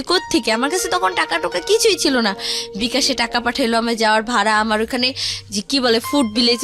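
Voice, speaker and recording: A young woman with a Bangladeshi accent telling a personal story conversationally, rambling and acting out what others said. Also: a little high. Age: teens to young adult. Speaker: guest telling their life story. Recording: music behind the voice.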